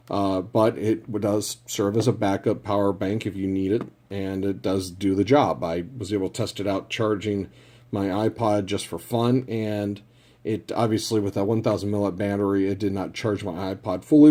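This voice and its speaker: A man's voice: lowish voice, mellow. A man giving a casual product review in a plain-spoken, matter-of-fact way, as a reviewer explaining things simply.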